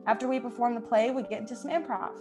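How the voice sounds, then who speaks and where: A woman podcast host delivers a scripted introduction. Her voice sits fairly high, with music clearly playing behind it. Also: steady tone, fast talker.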